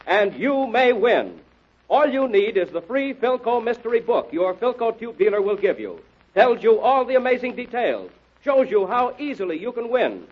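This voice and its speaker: High-pitched excitable old-time radio announcer. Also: male voice, full character voice. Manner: excited, punchy sales pitch. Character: excitable male radio mystery contest announcer. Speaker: announcer and narrator. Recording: dull old radio recording, music behind.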